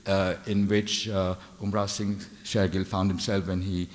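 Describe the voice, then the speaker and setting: Hesitant low-voiced lecturer in a hall. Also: male voice, flat delivery, unhurried. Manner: hesitant, many fillers and restarts. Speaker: art historian lecturer. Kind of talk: unscripted art lecture with slides. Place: large dark lecture hall. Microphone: lectern microphone. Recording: long hall reverb.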